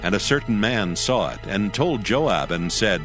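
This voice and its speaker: A man's voice: lowish voice, expressive, a little slow, a bit bright. A man reading scripted scripture as the narrator of a dramatized audio Bible. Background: background music.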